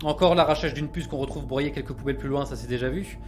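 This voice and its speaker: An actor playing a courteous police chief in an audio drama, with brisk, polite authority. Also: male voice, mid-range voice, over music, natural voice. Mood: businesslike.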